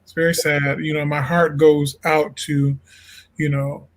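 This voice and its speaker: A jazz singer from Illinois talks as an interview guest in a fairly high male voice. He is relaxed and thoughtful, and says "you know" a lot. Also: measured pace. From Illinois, USA. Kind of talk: casual interview talk.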